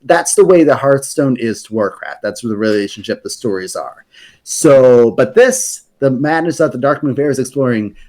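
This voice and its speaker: A man's voice: mid-range voice, darkish tone. A man on a podcast explaining fantasy game lore in a casual, rambling way, with many fillers and restarts. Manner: rambling, self-correcting, hesitant fillers.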